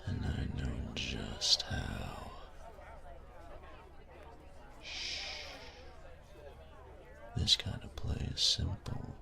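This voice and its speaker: Male actor as cocky domineering bully, breathy gravelly half-whisper, very slow menacing delivery, swooping intonation, intimate audio roleplay. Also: extremely low pitch, huge pitch swings, pushed and bright, full character voice. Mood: playful menace. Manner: slow, teasing, menacing delivery with drawn-out pauses.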